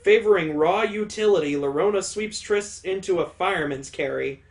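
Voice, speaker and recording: A let's play host with a mid-pitched voice reads a visual novel aloud and comments on it. The delivery is jokey and sweary, with loud reactions and a readiness to laugh. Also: moderate pace.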